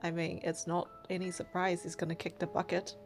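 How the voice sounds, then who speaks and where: A woman's voice: fairly low pitch, level tone, a little fast, over music. A woman doing a game playthrough, reading narration and heroine lines aloud and commenting casually.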